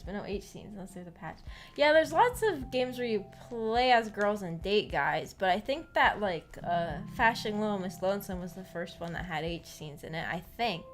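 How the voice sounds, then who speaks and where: A giggly woman hosting a book club stream, chatting casually with viewers and musing out loud. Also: on the high side. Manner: musing, thinking aloud.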